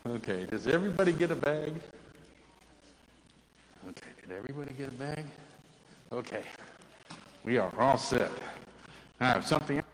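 A man teaching a Bible lesson to children, telling the story and asking them questions in a loud voice. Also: mid-range voice, lively intonation, moderate pace, long pauses. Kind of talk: Bible story told to children, then a hands-on activity. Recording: long reverb with faint ambient noise.

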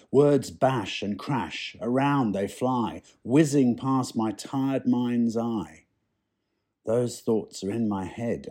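A man with a husky voice giving a poetry reading. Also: lowish voice, warm tone. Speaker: poem reader.

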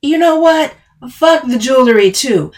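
An overbearing, bossy woman in a dramatic audiobook, acted out by a female narrator. Her voice sits high and she pushes every phrase hard, confrontational and emphatic. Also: lively intonation, slow pace. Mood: angry, swearing. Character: Camille, overbearing, furious, taunting woman, bossy cousin.

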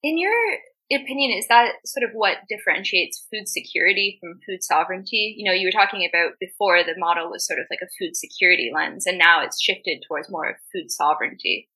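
An interviewer who asks open questions and listens closely, with frequent soft "mm-hmm"s. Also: medium pitch, brisk, husky, poor audio. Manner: attentive, frequent soft backchannels.

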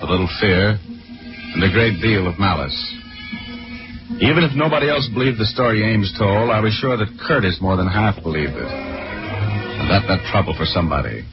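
Breezy american gumshoe, low n lively, old muffled radio drama w/ music. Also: male voice, moderate pace, pauses often. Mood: wry narrating. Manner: breezy, flippant, wry. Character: wisecracking, cocky male private detective narrator. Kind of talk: 1940s radio detective acting, first-person narration. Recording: dull 1940s radio recording with music.

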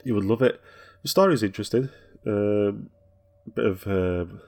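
A man co-hosting a podcast, telling rambling, relaxed stories in casual chat. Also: lowish voice, moderate pace, creaky. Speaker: podcast co-host.

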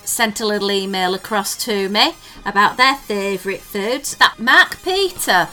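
A woman's voice: mid-range voice, animated, a little slow, sharp tone, soft background music. A woman co-hosting a casual podcast chat, teasing her co-host and reading out listener messages. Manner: chatty, teasing, reads listener tweets aloud.

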